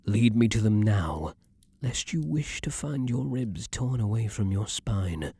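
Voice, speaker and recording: A man playing an imperious, lovesick vampire king in an intimate ASMR fantasy roleplay, speaking formally and theatrically with breathy, dramatic pauses. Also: lowish voice, animated, mellow, no echo, studio quality, heavily acted. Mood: cold menacing fury. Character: ardent, imperious male vampire king, lovesick then menacing.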